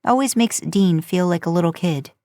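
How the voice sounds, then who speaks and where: Woman reading fiction out loud, lowish voice, really dry sound, kinda conversational. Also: moderate pace, no room sound. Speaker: podcast host narrating fan fiction. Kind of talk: scripted story narration, audiobook style.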